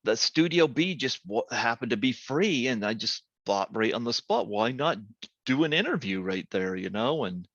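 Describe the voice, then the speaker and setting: A man's voice: mid-range voice, big pitch swings, normal speed, fairly bright tone, no room sound. A man hosting an interview, talking in a rambling way with lots of fillers. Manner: rambling, many ums and uhs. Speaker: podcast host. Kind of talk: casual interview chat. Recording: dry, muffled lo-fi audio.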